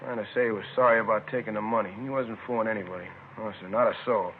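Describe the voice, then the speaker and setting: An actor playing a chatty, put-out store manager, a robbery witness who talks in colloquial fragments, in naturalistic 1950s radio drama style. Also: male voice, fairly low pitch, steady tone, very fast, natural voice. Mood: aggrieved. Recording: lo-fi noisy 1950s radio recording.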